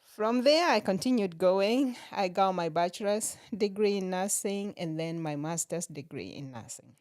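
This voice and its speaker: A middle-aged woman from Kenya, a nurse practitioner, talking as a podcast guest in a relaxed way and laughing often. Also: mid-range voice, moderate pace, dry recording. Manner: laughs often while telling stories. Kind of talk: relaxed interview conversation.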